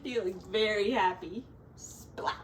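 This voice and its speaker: A woman's voice: mid-range voice, slow, recorded from a distance, noisy background. A woman teacher reading a storybook to children expressively, adding playful asides and drawing out the descriptive words for drama.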